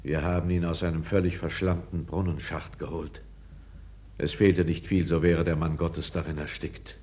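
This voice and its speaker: An actor playing a calm, candid foreign court councillor, speaking with measured, deferential gravity in a 1960s radio play. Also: male voice, low voice, lightly acted. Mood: deferential, matter-of-fact. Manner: measured, grave, deferential yet frank.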